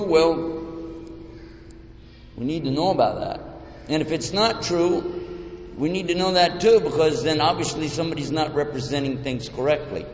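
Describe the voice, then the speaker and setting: Man, Texan accent, folksy and joking, public talk, echoey hall, long reverb, dull lo-fi sound. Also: a little high. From Texas. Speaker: lecturer. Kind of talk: humorous public talk to a live audience. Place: large hall with an audience.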